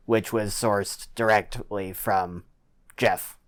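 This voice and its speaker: Man, tabletop player, smooth clear voice, slow pace, casual chat. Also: fairly low pitch, steady tone.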